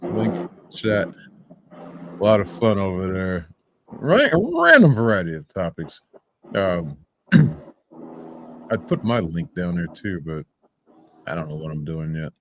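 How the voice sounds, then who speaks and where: A man's voice: deep voice, very animated, a little slow, pauses often, lo-fi sound, slight background noise. A man hosting a livestream with casual reaction commentary, mocking, incredulous and profane in his delivery. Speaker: livestream host.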